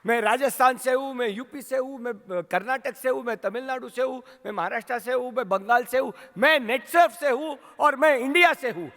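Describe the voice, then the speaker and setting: A man with an Indian accent giving a rousing motivational speech to his company's sales team, asking the crowd questions and building to shouts. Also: very high-pitched, moderate pace, hardly any pauses. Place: large hall at a training event. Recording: long hall reverb.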